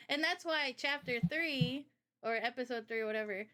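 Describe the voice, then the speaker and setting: Woman with a highish really bright voice, casual opinionated chat, informal. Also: a little slow, slight background noise. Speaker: host and game developer.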